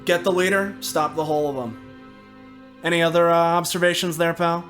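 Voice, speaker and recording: Male roleplayer, slightly higher pitch, colloquial, loose and rambling descriptions of his character. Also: fairly even intonation, brisk, a bit bright, soft background music. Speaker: tabletop game player.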